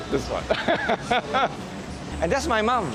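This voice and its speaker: A Singaporean man in his fifties, a muralist, speaking gently and self-deprecatingly in a documentary interview, chuckling often. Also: high-pitched, low-quality recording.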